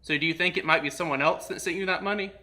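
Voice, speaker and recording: A man with a fairly high, bright voice hosts a casual comedy bit. He talks in an easy conversational way, keeping up a mock-earnest front suited to a prank call. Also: rapid-fire. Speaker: comedy show host making a prank call.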